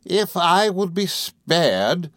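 Storybook villainess, played by a man: imperious young gentlewoman, raised pitch, cutting contempt, fierce and unrelenting, dry sound. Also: expressive, unhurried, no echo, natural voice. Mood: contemptuous. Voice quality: cold, stern, sharp tone. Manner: contemptuous, resolute, theatrically fierce. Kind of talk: dramatised classic novel reading.

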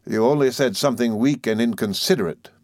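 A male narrator plays a stern Victorian stepfather from a storybook. He speaks formally and gravely, with measured, commanding weight, in a dry, close sound. Every phrase is cold and domineering. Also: medium pitch, lively intonation, dry recording, pitched above the performer's own voice, lightly acted. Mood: cold, reproving. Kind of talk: audiobook character in a Victorian novel.

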